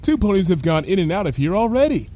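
A man's voice: high voice, very animated, quick pace, dark tone, a little hiss or hum, pitched above the performer's own voice. A man voicing a carefree, reckless female unicorn pony in a story, breezy and unworried. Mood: casual.

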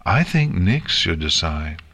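A man's voice: deep voice, big pitch swings, slow, fairly bright tone, exaggerated voice. A man voicing a kindly, encouraging adult female pony in a storybook reading. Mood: cheerful.

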